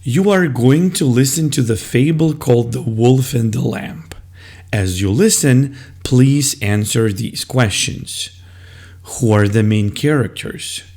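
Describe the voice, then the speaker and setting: A man explaining classroom methods as a teacher, in a deliberate, step-by-step instructional style. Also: mid-range voice, a little mellow.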